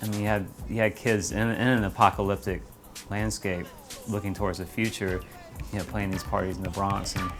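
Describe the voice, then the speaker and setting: A man with a low voice gives explanatory history commentary in a documentary interview. Music plays clearly behind him. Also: level tone, brisk.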